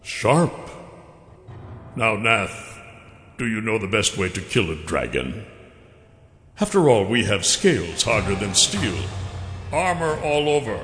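A man plays a huge horned dragon warlord from a fantasy storybook. The voice is deep and drops low at the ends of thoughts. He speaks very slowly, with mock courtesy and cold menace. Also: sing-song, a bit bright, reverberant, in a large hall, soft background music, full character voice. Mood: taunting. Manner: slow, deliberate, mock-polite.

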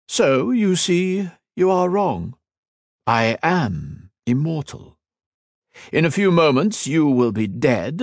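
Man doing cruel emperor villain, smug, mocking, pitched up, dry close sound. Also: dry recording, exaggerated voice. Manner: mocking, taunting, grandiose.

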